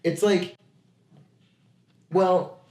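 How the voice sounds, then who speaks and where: A man co-hosting a podcast in casual chat, lively and quick to agree and add his own opinions. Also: high voice. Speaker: podcast co-host. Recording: echoey room.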